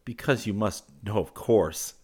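A man voicing an eager, polite, naive young gentleman abroad, earnest and courteous, in an audiobook story. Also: mid-range voice, big pitch swings, normal speed, pitched above the performer's own voice. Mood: cheerful. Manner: courteous, earnest, stammering when flustered.

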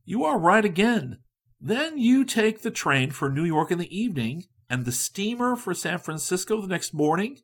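A man voicing a solemn, self-possessed gentleman who speaks slowly and calmly with dry politeness. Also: high-pitched, pitched above the performer's own voice, heavily acted. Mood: deliberate. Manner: slow, calm, dryly polite.

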